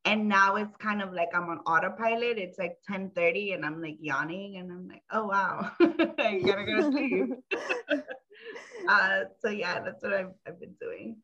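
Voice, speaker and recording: A woman's voice: medium pitch, unhurried, sharp tone. A woman from the Bronx, a podcast guest who talks in a chatty, reflective way and laughs often. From the Bronx, New York. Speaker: academic advisor. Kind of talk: casual podcast chat. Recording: dull lo-fi sound.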